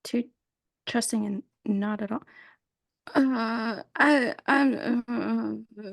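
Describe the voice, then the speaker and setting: A woman's voice: on the high side, extremely slow, gravelly, no room sound. A woman co-hosting a casual podcast game, muttering clues to herself as she thinks. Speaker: podcast co-host. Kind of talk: casual chat, guessing game.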